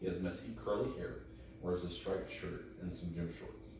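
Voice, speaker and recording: A young man directing a script table read, talking casually in a halting, rambling way. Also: low voice, steady tone. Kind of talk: casual explaining. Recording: roomy, echoey, distant mic with noise.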